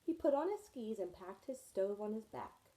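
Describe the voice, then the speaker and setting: A woman reads a children's picture book aloud to her students, and her mellow, soft-edged voice carries the story along. She storytells expressively and stops for friendly asides to her young listeners. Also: mid-range voice, sing-song, moderate pace, low-quality recording. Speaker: teacher reading to her students.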